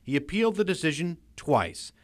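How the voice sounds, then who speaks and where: A man's voice: on the high side, big pitch swings, moderate pace. A man with an American accent reading scripted news report narration as a reporter.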